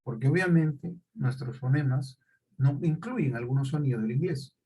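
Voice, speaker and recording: A man's voice: mid-range voice, moderate pace, mellow, slightly rough, rough recording. A middle-aged male teacher, lecturing a class and often checking in with questions.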